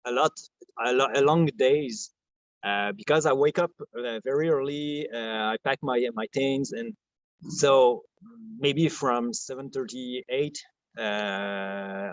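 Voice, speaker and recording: A man with a French Canadian accent, a podcast guest talking in a soft, hesitant way, full of restarts and fillers. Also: mid-range voice, takes it slowly, dry recording, muffled recording. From Quebec, Canada. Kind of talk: casual interview chat.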